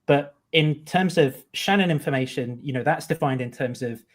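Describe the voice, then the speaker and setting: A man's voice: on the high side, moderate pace. A man hosting an interview, asking searching questions in a hedging way with many fillers. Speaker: host, interviewer.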